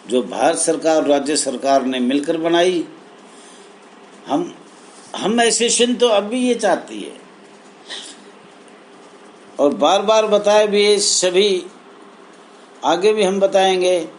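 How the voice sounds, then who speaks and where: A man giving an informal grievance speech to members as an association spokesman, in halting, repetitive phrases with emphatic appeals. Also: fairly high pitch, low-quality recording. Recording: clear background noise, amateur recording.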